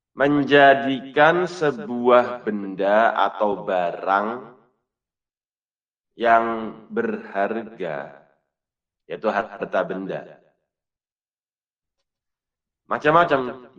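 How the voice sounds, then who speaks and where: A man's voice: mid-range voice, slow, pauses often, fairly bright tone. A man teaching an Islamic lesson in a didactic way, explaining a text through questions and answers. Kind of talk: religious lesson, reading Arabic text and explaining it. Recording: muffled, distant microphone.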